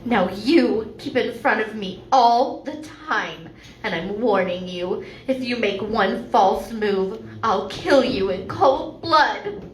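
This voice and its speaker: A woman's voice: mid-range voice, lively intonation, takes it slowly. A woman playing a frightened, distrustful heroine in an old-time radio thriller, with theatrical delivery. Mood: cold warning. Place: live performance venue, indoors. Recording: roomy live-stage recording, faint noise.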